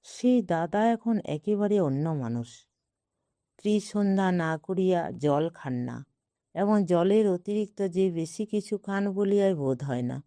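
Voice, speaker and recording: Mellow, unhurried woman narrating a story. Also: fairly low pitch, expressive, dry recording, clean studio sound. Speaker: audiobook narrator. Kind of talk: scripted literary short story narration.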